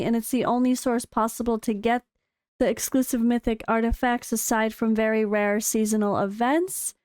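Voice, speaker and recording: American woman gaming creator, high and clear but a touch hoarse today, chatty and excitable, dry close sound. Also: no echo. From United States. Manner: chatty and excitable, with frequent fillers. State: slightly hoarse. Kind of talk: casual commentary reading developer notes.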